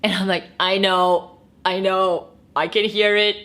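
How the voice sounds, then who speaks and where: A woman's voice: medium pitch, little pitch movement, unhurried, fairly bright tone. Bubbly Malaysian woman in her thirties. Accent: Malaysian, with a Singaporean tinge. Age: 30s. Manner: bubbly and chatty, with frequent laughs and squeals. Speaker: beauty and luxury vlogger. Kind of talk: casual Q&A chat to camera.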